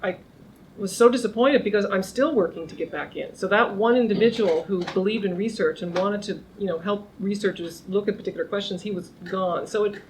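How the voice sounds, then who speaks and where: A woman's voice: mid-range voice, a little fast, poor audio. A middle-aged woman lectures informally at a lunch seminar, thinking aloud with frequent restarts. She projects a big voice across the room. A faint background noise sits under her speech. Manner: conversational, frequent restarts, raises her voice to be heard. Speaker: criminology professor. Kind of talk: informal academic talk to a small group.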